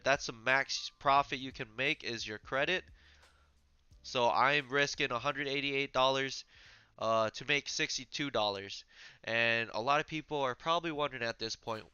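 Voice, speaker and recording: A man's voice: mid-range voice, bright, forceful tone, clear, resonant tone, no echo. A man with a stuffy, slightly sick voice, casually walking through an options trading tutorial with lots of "uh" fillers, on a dull lo-fi mic. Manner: casual, filler-heavy explaining with frequent "uh". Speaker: trading channel host. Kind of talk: screen-share trading tutorial.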